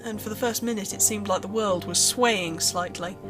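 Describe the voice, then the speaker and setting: A woman's voice: mid-range voice, moderate pace. A woman reading a scripted horror story as narrator, in first person. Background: music.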